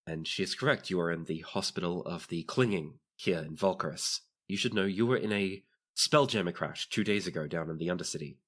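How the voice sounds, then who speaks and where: A man's voice: deep voice, brisk, a bit bright. A man narrating a tabletop roleplaying game as its game master.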